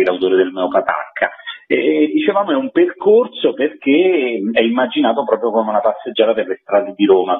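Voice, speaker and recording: Guy phoning into radio, lowish voice, tinny line, explaining an event like an interview guest. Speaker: event presenter, workshop leader, interview guest. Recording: narrow phone line on radio.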